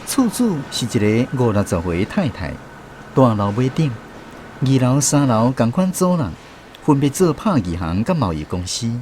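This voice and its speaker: A man's voice: medium pitch, sing-song, moderate pace, darkish tone, slight background noise, natural voice. A man with a Taiwanese accent playing a reflective storyteller who narrates a radio drama. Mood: reflective narration. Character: reflective male storyteller recalling apprentice days. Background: guitar music.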